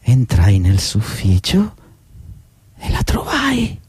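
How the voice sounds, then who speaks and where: Radio drama casting: bitter older worker just sacked, husky, volatile delivery swinging widely in pitch, dry sound with faint music. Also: male voice, huge pitch swings, slow pace, darkish tone, no echo, heavily acted. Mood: bitter, confessional. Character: desperate, bitter older male employee, just fired. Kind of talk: theatrical radio drama monologue and dialogue.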